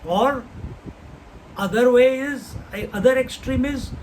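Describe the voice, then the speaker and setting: An older man gives a scripture class in a fairly high voice, asking his listeners question after question. A faint hiss of noise sits under his voice. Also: low-quality recording. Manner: unhurried, posing rhetorical questions; chants Sanskrit verses slowly. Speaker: spiritual teacher.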